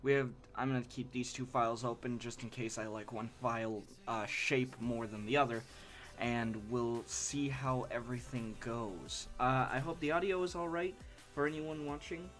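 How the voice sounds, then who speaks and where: Sniffly young man chatting while he draws. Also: medium pitch. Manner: rambling and halting, with frequent restarts; self-deprecating and apologetic. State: sniffly, stuffy nose from allergies. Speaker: art streamer. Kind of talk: casual live-stream chat while drawing. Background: soft background music.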